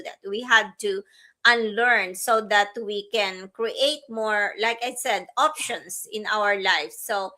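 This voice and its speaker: Woman, from the Philippines, livestream co-host, bright tone, unhurried casual talk. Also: a little high. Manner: slow, warm-hearted, frequent fillers and restarts. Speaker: co-host of a live stream. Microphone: livestream setup.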